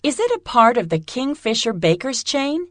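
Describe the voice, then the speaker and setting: Actress as curious, friendly student: very varied intonation, scripted exam dialogue, no room echo. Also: female voice, on the high side, big pitch swings, moderate pace, fairly bright tone. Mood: curious, encouraging. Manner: clearly enunciated, scripted. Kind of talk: scripted dialogue for an English listening test.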